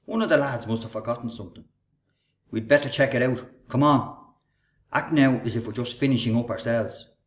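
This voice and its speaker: A man's voice: medium pitch, brisk, slight background noise, natural voice. An actor playing a scheming, menacing Irish workman and ringleader in a radio play, with a taunting, sarcastic, bossy manner. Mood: scheming, assured.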